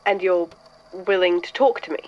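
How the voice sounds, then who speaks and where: A woman playing a wary, hesitant listener in an audio drama, halting, guarded and deliberate. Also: on the low side, darkish tone, lightly acted. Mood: incredulous, hesitant. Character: wary, hesitant listener questioning an odd visitor. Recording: dull lo-fi audio.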